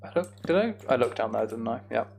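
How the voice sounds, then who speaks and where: British man with a creaky voice. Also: medium pitch, animated, brisk, a little mellow. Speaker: game streamer. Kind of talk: casual Minecraft playthrough commentary, chatting with viewers.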